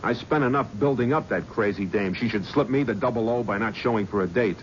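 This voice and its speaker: An actor voicing a hard-edged New York talent agent, brash and ruthless, who talks like a fast hustler. His voice sits low. It comes through a dull, lo-fi old radio recording with a faint hiss under it. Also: natural voice. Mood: cynical. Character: slick, ruthless male talent agent, middle-aged. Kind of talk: 1970s radio drama acting.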